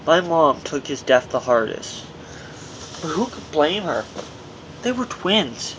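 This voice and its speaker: Male author narrating own memoir, slightly high voice, earnest, amateur recording with light background noise. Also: very animated, slow. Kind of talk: scripted audiobook narration.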